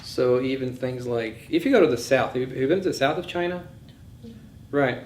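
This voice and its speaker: A man lectures informally in a medium-pitched voice, offering firm opinions as he thinks aloud. The room is echoey, with faint noise behind him. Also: fairly quick, darkish tone. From born in Bulgaria, grew up in several countries. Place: indoors in a session room.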